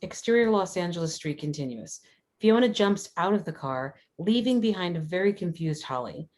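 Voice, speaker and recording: A woman's voice: fairly low pitch, lively intonation, normal speed, darkish tone. A woman reading scripted stage directions as narrator at a screenplay table read.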